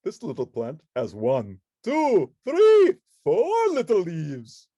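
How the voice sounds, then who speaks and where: A woman's voice: on the low side, huge pitch swings, slow pace, dark tone, no echo, highs cut off, pitched above the performer's own voice, full character voice. A woman voicing a grandiose, gleeful vampire count puppet in a children's storybook, theatrically proclaiming numbers. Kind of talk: children's storybook read-along character voice.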